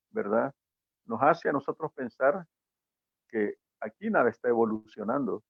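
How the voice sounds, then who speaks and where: Salvadoran man, gravelly and husky, lecturing guest over a phone-thin line. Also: a little high, no room sound. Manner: reflective, lecturing, rhetorical questions. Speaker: guest commentator. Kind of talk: opinion talk on a show. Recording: tinny phone-like line, dry.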